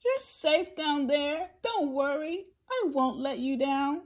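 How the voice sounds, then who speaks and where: A woman's voice: sits high, animated, slow, voice pushed up. A woman voicing a big, kind, loyal male elephant from a storybook, with an earnest, emphatic way of speaking. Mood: reassuring. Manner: earnest, emphatic, reassuring rhyming speech.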